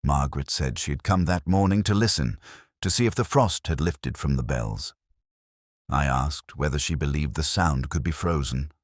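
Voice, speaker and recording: Very deep-voiced man acting a brooding detective narrator. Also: no echo, clean studio sound. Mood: brooding, reflective. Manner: measured, literary, brooding. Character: reflective, sceptical male English police detective inspector. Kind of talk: scripted first-person mystery narration.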